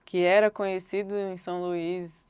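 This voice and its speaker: A young Brazilian woman, a singer-songwriter, answering interview questions thoughtfully and unhurriedly, often pausing on filler words. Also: on the low side, little pitch movement, darkish tone, clean tone, no echo. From Brasília, Brazil.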